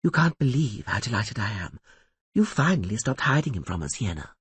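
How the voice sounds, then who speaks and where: A falsely sweet, gushing sorceress, as a man reading aloud puts her on, slightly raised from his plain voice. Her lines come sugary and drawn out, husky and dry. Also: fairly low pitch, big pitch swings, brisk, no echo, heavily acted. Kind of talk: audiobook reading.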